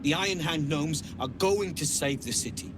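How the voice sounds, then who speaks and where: Guy doing proud ambitious gnome boss from a video game, kinda high voice, speechy and formal, noisy background. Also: moderate pace, lightly acted. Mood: earnest. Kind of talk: video game character dialogue.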